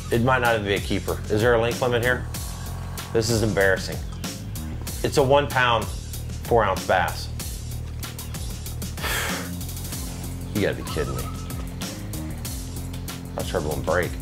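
Low-pitched man in exasperated gaming banter. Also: animated, unhurried, pauses often, over music. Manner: exasperated, self-deprecating, slangy. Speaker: video game player and channel host. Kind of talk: casual gameplay banter and self-commentary.

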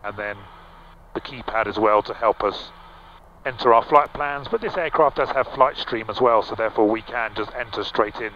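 A middle-aged man piloting a small aircraft, casually explaining the cockpit over a headset boom mic, relaxed, with frequent fillers and restarts. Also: normal speed. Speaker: pilot and vlog host. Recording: slightly dull, with faint engine hum.

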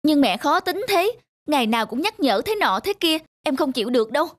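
A woman's voice: high-pitched, very animated, a little fast. An actress playing a young, strong-willed married woman in a cartoon, whiny and emphatic, with a northern Vietnamese accent. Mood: complaining. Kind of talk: animated moral-tale dialogue.

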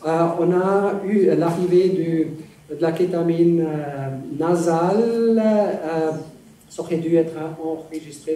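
A middle-aged man, a professor answering audience questions in an academic, halting way with many pauses, in a large lecture hall. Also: on the high side, mellow. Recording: echoey hall, distant, some noise.

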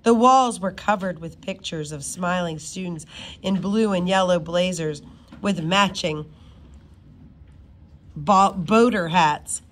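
A woman reading a children's novel aloud in an expressive, informal way, sometimes stumbling over words. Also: on the low side, slow pace, long pauses, a little hiss or hum. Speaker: reader of a children's book.

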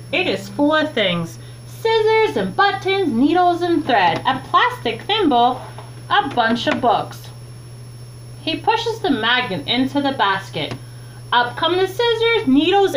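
A young adult woman reads a children's book aloud with expressive, deliberate phrasing, recorded in a small room at home on a webcam mic. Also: high-pitched. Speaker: teacher reading a children's book. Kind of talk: read-aloud storytime narration. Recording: clearly noisy, humming home recording.

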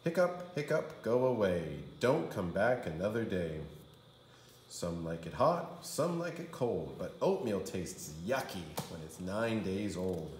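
Slow, lively man reading nursery rhymes. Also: lowish voice, a little hiss or hum. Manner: theatrical, sing-song delivery of rhymes. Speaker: kindergarten teacher reading aloud. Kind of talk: expressive children's storybook read-aloud. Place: indoor room. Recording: roomy indoor echo.

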